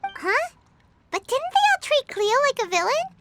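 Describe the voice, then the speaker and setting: Tiny squeaky game sidekick, sky-high and bouncy, blurting eager questions. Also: female voice, big pitch swings, moderate pace, over loud music, heavily acted. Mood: puzzled. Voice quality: squeaky, childlike. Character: tiny excitable floating companion girl. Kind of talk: game voice acting.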